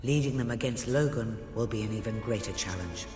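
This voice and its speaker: An actor playing a grave, commanding mystic guide in a video game, speaking in a measured, portentous manner. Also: male voice, lowish voice, lightly acted. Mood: solemn, urging. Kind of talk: dramatic video game cutscene acting. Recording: echoey long reverb, music behind.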